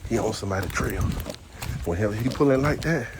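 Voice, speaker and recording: A man's voice: lowish voice, animated, fairly quick, noisy background. A man in his fifties, a dog trainer, talking casually and bluntly while walking his dog on an outdoor trail. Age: 50s. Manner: talkative, blunt, folksy storytelling.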